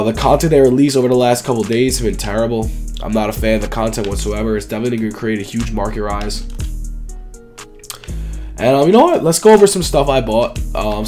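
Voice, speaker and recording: Male gaming YouTuber, 20s, raspy and sore-throated from flu, informal slangy commentary, music behind. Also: medium pitch, darkish tone. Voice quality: raspy, dry voice. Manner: slangy, informal, repetitive emphasis. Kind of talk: casual gaming video commentary.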